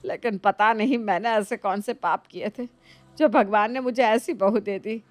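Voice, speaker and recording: A woman's voice: medium pitch, normal speed, no room sound, close to an everyday voice. A woman playing an older mother-in-law in a moral story, effusive and complaining in an exaggerated way. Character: nagging older mother-in-law, gushing over niece, scolding her own. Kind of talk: animated moral story dialogue.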